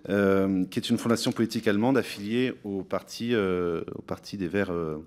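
A man in his thirties, acting as rapporteur and questioning witnesses in a parliamentary hearing, speaking haltingly with many restarts into a desk microphone in a large committee room. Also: lowish voice, a little mellow. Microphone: desk gooseneck microphone.

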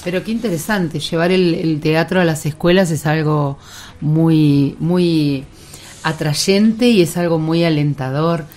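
A woman's voice: sits low, measured pace, darkish tone. A woman with an Argentine accent hosting a casual radio interview. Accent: Argentine Spanish. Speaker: radio host.

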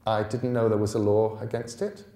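A man's voice: on the low side, level tone, a little fast, warm tone, close to an everyday voice. An earnest young boy read by a man protests plainly and defiantly. The storybook voice is heard in a roomy space, slightly away from the mic. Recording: roomy stage sound, distant mic.

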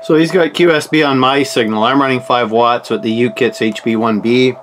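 A man's voice: medium pitch, fairly even intonation, moderate pace, a bit bright. A man giving casual commentary as an amateur radio operator demonstrating his gear. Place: indoors at a desk. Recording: clear background noise.

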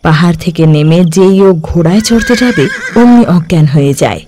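A man narrating a cartoon folk tale in a steady storytelling voice. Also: a little high, moderate pace, a little hiss or hum.

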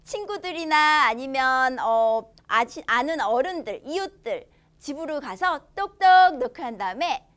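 High-pitched, animated young woman teaching children. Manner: expressive, enunciates slowly for children. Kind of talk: children's language lesson, switching between Korean and English.

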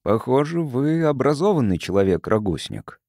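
A man voicing a calm, curious sociologist from Earth in an audiobook, measured and polite. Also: mid-range voice, no room sound, clean studio sound.

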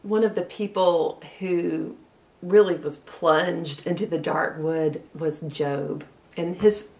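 A woman in her forties preaching a sermon from her living room, telling the story earnestly and slowing down for emphasis. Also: fairly low pitch, gravelly, a little mellow. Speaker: pastor. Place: living room at home, indoors. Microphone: camera a little way off, seated facing it. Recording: roomy sound from a distance.

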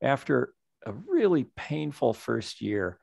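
A middle-aged man, a nonprofit executive director, giving a reflective, measured story-led presentation over a video call. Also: mid-range voice, sing-song, creaky, a little mellow, slightly rough, dry recording, clean studio sound. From United States.

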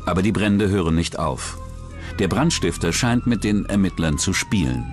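Male documentary narrator, deep voice, lively intonation, scripted crime storytelling, clear music bed behind. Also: moderate pace. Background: background music.

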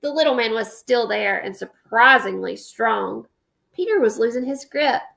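Teacher, female, 30s, high gravelly voice, storybook read-aloud then lesson, home webcam. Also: moderate pace. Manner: expressive storybook reading, then plain classroom explaining. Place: living room at home, indoors. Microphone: webcam at close range.

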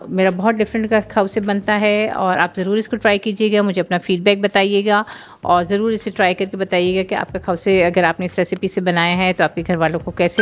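A woman, hosting a home cooking show and explaining each step in a chatty way with many fillers. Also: medium pitch. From Pakistan.

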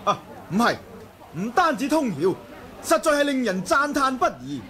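Sarky gushing kung fu onlooker, hong kong cantonese, high swoopy voice, old radio drama. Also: male voice, very animated, normal speed, bright, forceful tone, some chatter behind, full character voice. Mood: mocking admiration. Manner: exaggerated mocking praise. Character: sardonic, gushing male martial-arts onlooker. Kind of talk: 1980s radio drama acting.

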